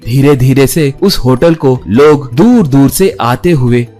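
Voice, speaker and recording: A man's voice: medium pitch, expressive, takes it slowly, dark tone. A male narrator with a mid-range voice tells a cartoon story. The delivery is scripted storytelling. Music plays behind him.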